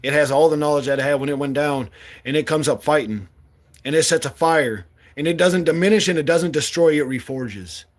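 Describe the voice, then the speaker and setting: Blunt fired-up man, higher voice. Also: fairly quick. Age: 40s. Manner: blunt, swearing, fiery. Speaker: political candidate. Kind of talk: impassioned monologue.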